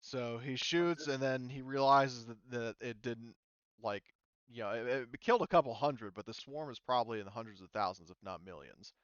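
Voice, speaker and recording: A man's voice: medium pitch, normal speed, a bit bright, clean tone, no echo, muffled recording. A man narrating a tabletop game as game master, explaining rules casually and thinking aloud hesitantly.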